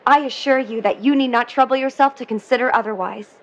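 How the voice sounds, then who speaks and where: A woman's voice: fairly high pitch, a little fast, lo-fi sound, lightly acted. A woman playing an earnest, romantic young sweetheart in a 1950s audio drama, speaking in a polite, dreamy, poetic way. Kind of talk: vintage-set audio drama acting.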